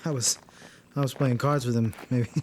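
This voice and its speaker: A man's voice: medium pitch, fairly even intonation, moderate pace, natural voice. A man acting as a blunt, somber military crewman in an amateur audio drama, terse and matter-of-fact. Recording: noisy ambience with clicks.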